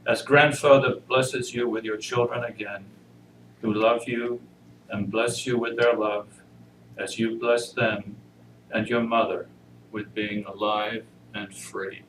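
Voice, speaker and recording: A man introducing and reading poetry at a church service, measured and solemn. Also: on the low side, flat delivery, fairly bright tone. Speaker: congregation member reading inmates' poetry. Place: church service, indoor room. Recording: roomy, noisy pickup far from mic.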